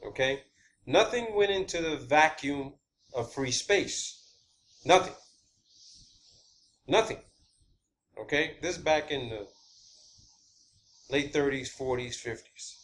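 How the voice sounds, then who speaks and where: Halting, emphatic man over background noise. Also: mid-range voice, fairly bright tone. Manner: halting, emphatic, repeats key words. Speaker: video channel host. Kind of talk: rambling unscripted monologue explaining personal theories. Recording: clear background ambient noise.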